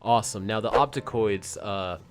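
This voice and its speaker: A man's voice: on the low side, moderate pace. A man chatting casually as he records a voice acting diary, jokey and thinking aloud. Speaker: creature voice actor recording a video diary. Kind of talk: casual behind-the-scenes chat.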